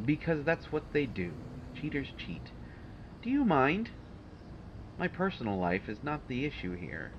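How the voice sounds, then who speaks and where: A man's voice: low voice, muffled recording, noisy background. A man playing a haughty, prickly supervillain mastermind in an audio roleplay, speaking in formal, condescending, exasperated phrases. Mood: bitter, indignant, then indignant, scornful. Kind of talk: audio roleplay acting addressed to the listener.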